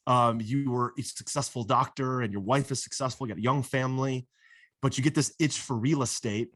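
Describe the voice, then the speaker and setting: A man's voice: medium pitch, brisk, fairly bright tone, no echo. Upbeat podcast host man, dry sound. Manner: upbeat, affirming, asks long questions. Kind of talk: casual interview chat.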